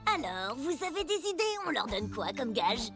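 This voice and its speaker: A woman's voice: on the high side, very animated, normal speed. A woman voicing a mocking, bossy young gang ringleader in a French children's cartoon. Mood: bossy, scheming. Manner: sneering, dismissive, exclaiming. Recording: music playing behind the voice.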